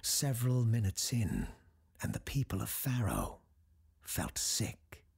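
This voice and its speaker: Gravelly, breathy grave scholarly doctor. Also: male voice, fairly low pitch, wildly swooping pitch, darkish tone, exaggerated voice. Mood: grave, ominous. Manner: slow, deliberate, ominous, with dramatic pauses. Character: grave scholarly doctor recounting dark legends. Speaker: character host telling a tale. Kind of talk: scripted horror storytelling for audio drama.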